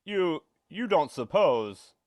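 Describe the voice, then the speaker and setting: A man's voice: fairly high pitch, very animated, slow pace, darkish tone. A man playing a penny-pinching, anxious property owner in old-time radio suspense style, curt, skeptical and fretful. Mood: uneasy.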